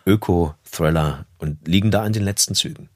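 A man's voice: sits low, normal speed, no room sound. A man speaking casually and modestly as an interview guest, an audiobook narrator reflecting on his work in a studio. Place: recording studio.

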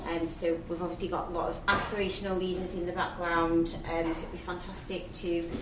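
Female panel host, lowish voice, upbeat, roomy distant sound with audience noise. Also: level tone, fairly quick. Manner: enthusiastic, praising. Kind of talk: moderating a live panel. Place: event venue with live audience. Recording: roomy, distant, live-event ambience.

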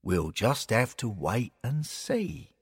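A man voicing a courteous, worried older gentleman in a story, mild and measured, sometimes mumbling. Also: mid-range voice, very animated, husky, big-room sound, full character voice. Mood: reassuring.

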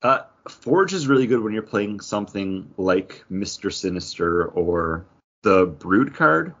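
Man, husky voice, casual host, enthusiastic, rambling, dull lo-fi recording. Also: lowish voice, very animated, a little slow, a bit bright. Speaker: podcast host. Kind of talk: casual chat about games.

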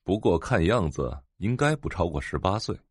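Excitable, husky middle-aged husband. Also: male voice, low-pitched, moderate pace, no room sound, studio quality, heavily acted. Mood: admiring. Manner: exclamatory, earnest.

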